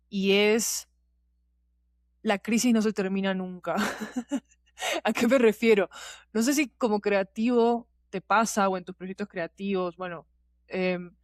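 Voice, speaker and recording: A woman's voice: mid-range voice, no echo, clean studio sound. A woman gives a reflective, candid interview answer in colloquial speech, often checking that the listener follows. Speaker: podcast guest, fiction podcast producer.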